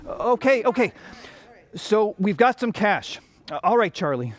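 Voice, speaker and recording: Casting: actor as earnest, flustered young male banker in a radio play, very lively intonation. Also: medium pitch, unhurried. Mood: reassuring. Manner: earnest, stammering. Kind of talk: radio drama acting.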